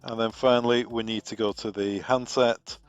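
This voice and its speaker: A man with a British accent, a product trainer talking through a software configuration step by step, relaxed and thinking aloud with fillers. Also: mid-range voice, normal speed, clear, resonant tone, lo-fi sound.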